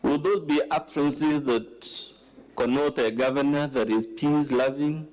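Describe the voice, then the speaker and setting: Deliberate Kenyan man questioning a witness. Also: a little high, a little mellow, poor audio. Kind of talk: formal legal questioning. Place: legislative chamber hearing.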